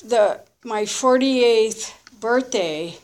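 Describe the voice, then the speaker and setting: A woman in her early fifties giving a candid, unscripted personal testimony in short, halting, reflective phrases. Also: fairly high pitch, darkish tone. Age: early 50s. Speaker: recovering alcoholic sharing her story.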